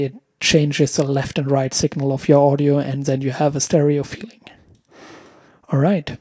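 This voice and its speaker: Man, thirties, mellow tone, dry close mic, hesitant, casual tutorial host. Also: on the high side, no echo. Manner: hesitant, searching for words. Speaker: host of a music production tutorial. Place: room at home. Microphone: close desk microphone with headphones.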